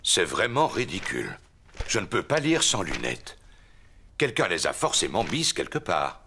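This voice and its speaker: A man's voice: sits low, huge pitch swings, moderate pace, vocal fry, noisy background, exaggerated voice. A man voicing a huffy, indignant middle-aged father pig, blustering away in a children's cartoon dub. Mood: grumpy, indignant.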